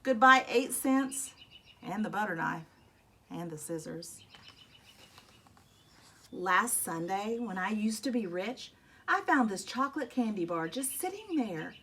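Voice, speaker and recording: A woman reading a children's picture book aloud expressively, telling it as a young boy, with dramatic emphasis and drawn-out rueful phrases. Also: mid-range voice, lively intonation, sharp tone, slight background noise. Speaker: storybook reader. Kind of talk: expressive read-aloud of a children's story in a young boy's first person.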